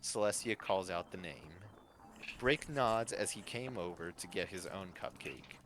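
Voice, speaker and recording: A man with a fairly low voice reads a fan-fiction story as narrator and host. Faint music plays behind him. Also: a little slow, fairly bright tone.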